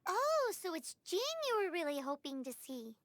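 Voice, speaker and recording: A woman's voice: extremely high pitch, big pitch swings, moderate pace, a bit bright, exaggerated voice. A woman voicing a tiny, cheeky, childlike fairy companion in an anime video game. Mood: curious. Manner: refers to self in third person, exclamatory, indignant. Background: music.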